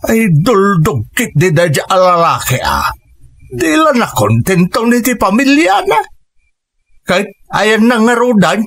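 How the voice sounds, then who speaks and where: A man's voice: high-pitched, very animated, unhurried, a bit bright, heavily acted. A man playing an older, concerned father in a radio drama, talking in a gossipy, disapproving way about unfaithful husbands.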